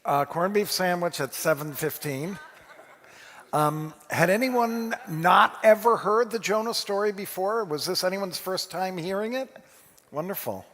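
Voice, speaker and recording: A man's voice: high-pitched, unhurried, fairly bright tone. A man speaking informally as a rabbi to his congregation in a large sanctuary. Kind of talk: informal address to a congregation, taking questions. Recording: echoey hall with long reverb.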